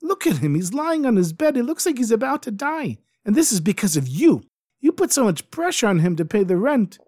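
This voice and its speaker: A man's voice: a little high, animated, moderate pace, warm tone, no echo, studio quality. A man doing a scolding noblewoman. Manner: scolding, commanding. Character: stern, indignant wealthy nobleman wife.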